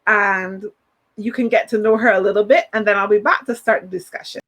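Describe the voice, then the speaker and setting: A woman's voice: mid-range voice, fairly even intonation, fairly quick, a bit bright. A woman hosting a live podcast, talking straight to the audience in an upbeat way. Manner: upbeat, addresses the audience directly. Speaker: podcast host.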